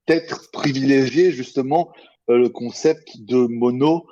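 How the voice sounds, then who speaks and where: A man with a medium-pitched, very mellow voice offers his views in a relaxed discussion. He is tentative and hedges as he goes. The sound is a little dull and muffled. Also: a little slow. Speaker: podcast debate participant, audio fiction creator. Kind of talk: casual unscripted discussion.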